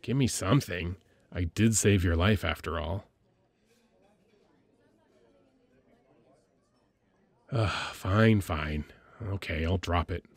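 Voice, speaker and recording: A man playing a caring, playfully gruff military medic lieutenant in an intimate audio roleplay, with an informal, hesitant, teasing delivery. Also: low voice, a little slow, no echo, heavily acted. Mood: frustrated, teasing.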